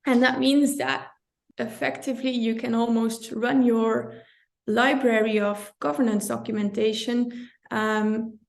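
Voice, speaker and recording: Gravelly-voiced woman from Belgium. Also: a little high, darkish tone. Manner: thoughtful, self-correcting, light laughs. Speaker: compliance director, guest. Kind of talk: conversational interview.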